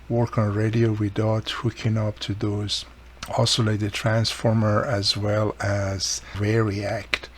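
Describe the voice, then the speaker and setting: Gravelly-voiced man talking through a repair. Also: on the low side, slight background noise. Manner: informal, explains step by step while working. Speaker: radio repair hobbyist presenting his own how-to video. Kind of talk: casual hands-on repair walkthrough.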